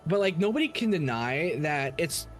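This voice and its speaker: A man with a slightly high-pitched voice hosts a casual gaming livestream. He rambles through his opinions and swears freely as he talks to chat. Faint music plays behind him. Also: very animated, moderate pace. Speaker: livestream host. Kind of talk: casual livestream commentary on games, answering chat.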